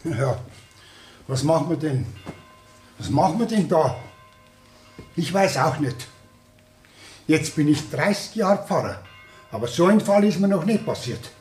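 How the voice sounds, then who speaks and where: A flustered Bavarian parish priest in an old radio play. His voice sits fairly high and he dithers helplessly. The room is echoey, with music in the recording. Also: animated, unhurried, long pauses, darkish tone. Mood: perplexed, fretting. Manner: dithering, perplexed. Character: flustered, helpless village priest, thirty years in office. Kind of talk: 1960s German radio play acting.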